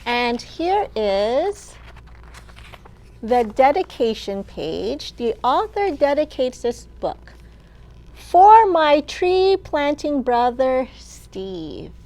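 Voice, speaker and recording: A woman librarian hosting a children's storytime, reading aloud in simple, deliberate phrases meant for young children. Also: high-pitched, big pitch swings, mellow.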